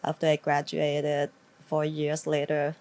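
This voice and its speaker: A woman's voice: low-pitched, completely flat delivery, measured pace, darkish tone, a little hiss or hum. A middle-aged woman from northeast Thailand, a native Lao speaker with a Thai accent, giving conversational interview answers.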